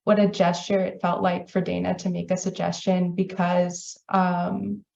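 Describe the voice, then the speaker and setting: Hesitant woman with a gravelly voice. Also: on the low side, flat delivery, unhurried, mellow, reverberant, highs cut off. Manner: hesitant, many ums, apologetic. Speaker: festival organizer. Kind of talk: casual panel discussion.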